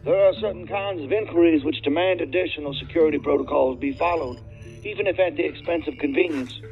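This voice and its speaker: Chatty corporate contact: polite man, high-pitched, tinny phone-line sound. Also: fast, creaky, soft background music. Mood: courteous, chatty. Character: courteous, talkative male corporate research contact. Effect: phone or intercom filter. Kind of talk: naturalistic audio drama acting.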